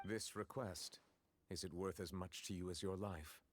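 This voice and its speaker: A man acting as a dignified official of the law. His voice is low and formal, each phrase measured and aloof, as in an anime game drama. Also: steady tone, a little hiss or hum, close to an everyday voice. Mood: grave, pondering. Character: composed, formal, dignified male judge of high office.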